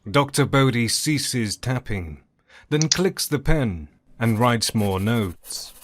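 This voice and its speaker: A man's voice: medium pitch, animated, slow, slight background noise. A man narrating a scripted audio drama as its storyteller.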